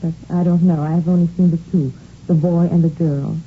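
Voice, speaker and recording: Low-voiced French cook played by a woman, earnest. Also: flat delivery, a little fast, close to an everyday voice. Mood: concerned. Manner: careful, formal, polite. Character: earnest, concerned foreign-born female household cook. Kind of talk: 1950s radio drama acting. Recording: muffled, noisy vintage radio recording.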